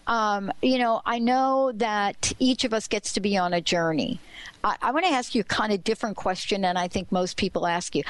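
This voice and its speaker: A woman's voice: medium pitch, no room sound. A woman hosting a talk radio show, introducing her guest in an encouraging, inspirational way.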